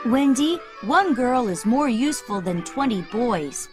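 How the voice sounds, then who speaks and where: A woman voicing a cocky, excitable young boy who never grows up in an animated children's story, boastful and blunt. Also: fairly high pitch, measured pace, faint music behind. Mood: earnest.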